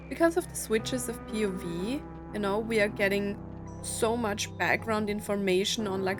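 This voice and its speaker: A woman giving casual let's play commentary, rambling as she thinks aloud about a story game. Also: medium pitch. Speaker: streamer playing a story game.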